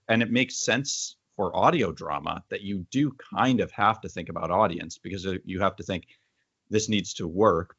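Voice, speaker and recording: A man's voice: deep voice, a bit bright, husky, no room sound, highs cut off. A man speaking as an interviewer in a conversational literary discussion.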